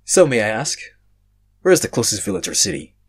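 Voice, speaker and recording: Story character: confused, panicky young man, done by a male reader, sarcastic, halting, husky. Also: fairly low pitch, sing-song, full character voice. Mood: earnest.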